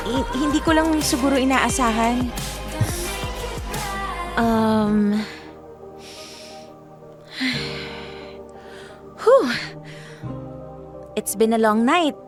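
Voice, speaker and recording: A woman's voice: sits high, very slow, long pauses, over music. An actress playing a flustered, shy young woman in a radio romance drama, with a Filipino accent and a hesitant way of talking. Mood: awkward, hesitant. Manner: hesitant, Taglish code-switching. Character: flustered young woman, Monet, shy and encouraging toward her admirer.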